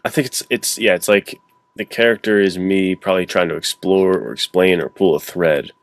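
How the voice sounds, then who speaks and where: A man's voice: low voice, normal speed, dry recording. A man chatting casually and reflectively as a podcast guest, informal and earnest, thinking aloud in long runs. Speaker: podcast guest, adventure novelist.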